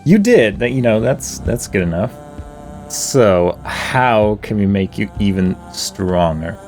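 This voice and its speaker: A man's voice: fairly low pitch, animated, takes it slowly, a little mellow. A man casually commenting on his own gameplay, thinking aloud in a laid-back way and chuckling now and then. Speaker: gameplay video host. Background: game music.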